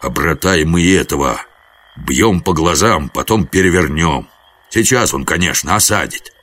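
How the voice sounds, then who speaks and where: Man voicing a folk-hero warrior, husky, animated pitch, hearty, wily, rustic, audiobook tale. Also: measured pace, exaggerated voice. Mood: bold. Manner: rustic, colloquial, coaxing.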